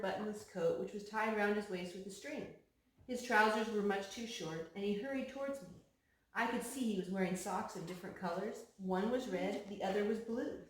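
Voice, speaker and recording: Woman reading a storybook in an echoey room. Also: mid-range voice. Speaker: storyteller reading aloud to young children. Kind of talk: picture-book read-aloud with asides to children. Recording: very echoey room with some noise.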